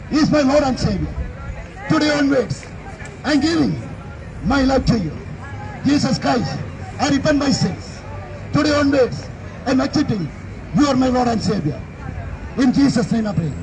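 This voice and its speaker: A middle-aged man delivers an open-air street sermon with a very high voice. He speaks emphatically and keeps repeating his declarations into a handheld mic. Behind him a busy city plaza hums with crowd chatter and traffic noise. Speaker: street preacher. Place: outdoor city plaza by a railway station. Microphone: handheld microphone.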